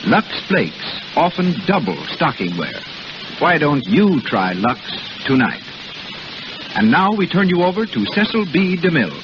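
A man's voice: on the high side, very animated, a little slow. A man announcing a scripted commercial in the style of 1930s radio. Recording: muffled noisy 1930s radio broadcast.

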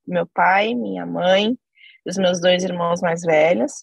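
A woman's voice: fairly low pitch, fairly even intonation, slow pace, creaky, slightly rough, dry recording. A woman in her thirties from Brazil, a guest on a podcast, chatting casually and telling a long story with many fillers and asides. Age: 30s. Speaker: podcast guest, company CEO.

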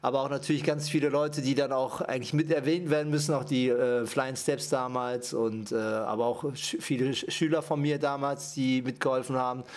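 A man's voice: mid-range voice, fairly even intonation, brisk, hardly any pauses. A man from Japan, a dancer and choreographer, talking as a podcast guest, hesitant with many fillers. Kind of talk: casual interview talk.

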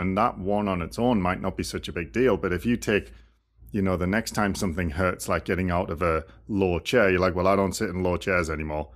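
Low-voiced thoughtful podcast host. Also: male voice. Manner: thoughtful, rambling, many fillers and restarts. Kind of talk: casual podcast conversation.

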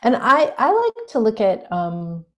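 A woman's voice: a little high, huge pitch swings, unhurried, highs cut off. A woman guest on an interview show, a spiritual teacher talking in a musing, loose way with many fillers and small laughs. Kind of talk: reflective interview conversation.

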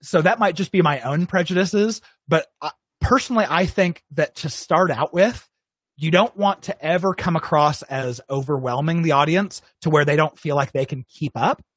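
A man from Arizona, a guest in an interview, giving relaxed, conversational advice and acting out what viewers might think. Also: on the high side, normal speed, no room sound. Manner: relaxed, conversational, acts out imagined viewers' thoughts.

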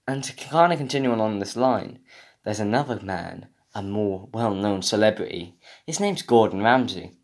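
A young British man hosting a podcast, rambling through a casual comedic rant, sweary and mock-indignant. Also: on the low side. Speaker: podcast host.

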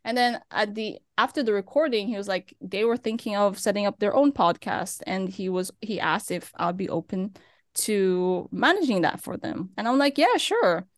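A woman's voice: mid-range voice, lively intonation, moderate pace, no echo, studio quality. A young woman from Turkey, now in Nigeria, chatting casually as a podcast guest, with many fillers. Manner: chatty, with frequent fillers and laughs. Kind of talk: casual interview chat. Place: living in Nigeria.

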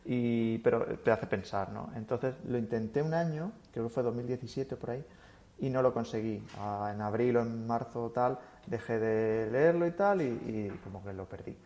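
A man of middling pitch talks through his thoughts in a loose, conversational way. He doubles back on his words and restarts often, with an unhurried, reflective delivery. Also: dark tone. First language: Spanish. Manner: thoughtful, rambling with many restarts. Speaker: guest. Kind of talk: casual interview chat.

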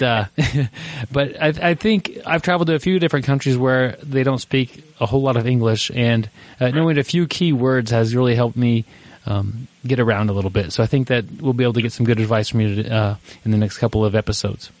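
A relaxed male podcast host who chats loosely and restarts his sentences as he goes. His voice sits at a mid pitch, and the recording is close and dry. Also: a little fast, dry recording. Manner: rambling, lots of fillers and restarts, laughs easily. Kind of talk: casual podcast chat.

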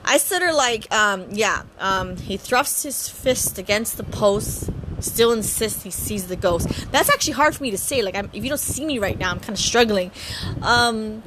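A woman's voice: medium pitch, lively intonation, moderate pace, background noise. A woman in her thirties from Hawaii, chatting casually as she introduces her podcast at home, stammering and restarting often with giggles. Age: 30s. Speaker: podcast host.

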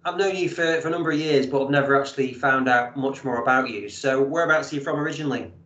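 A man's voice: mid-range voice, fast talker, fairly bright tone, echoey room, muffled recording. A man hosting an interview and asking questions in a friendly, hesitant way. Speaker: interviewer host.

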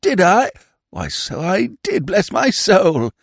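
A vague, dithering old earl, as a male audiobook reader puts him on. His voice jumps high and loud, full of startled little exclamations. It is a very lively, animated delivery in a dry, close recording. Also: takes it slowly, creaky, breathy edge, dry recording, heavily acted. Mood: flustered. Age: older.

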